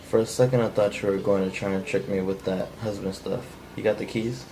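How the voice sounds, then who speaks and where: Low, creaky, menacing drifter read by a man. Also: a little fast, slight background noise, lightly acted. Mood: wary, blunt. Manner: flat, unpolished amateur line reading. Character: menacing, bitter, down-on-his-luck male drifter intruder. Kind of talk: cold table read of a film script.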